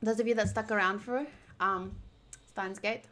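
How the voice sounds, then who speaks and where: A woman livestreamer chatting casually to her viewers in a playful, teasing and animated way. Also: medium pitch, moderate pace. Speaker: streamer hosting a game playthrough.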